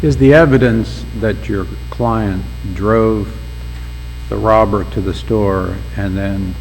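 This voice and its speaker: Low gravelly man presiding in court. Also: fairly even intonation, a little mellow. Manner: measured, procedural, pointed questioning. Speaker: presiding appellate judge. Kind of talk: formal courtroom proceedings and questioning. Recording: roomy courtroom with faint mains hum.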